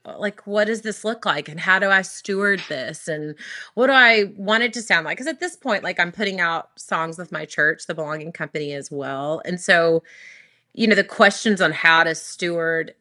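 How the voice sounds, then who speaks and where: A young adult woman, a worship singer, tells her story in an interview, talking quickly and animatedly with frequent restarts. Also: on the low side, bright, forceful tone. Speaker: worship singer and recording artist.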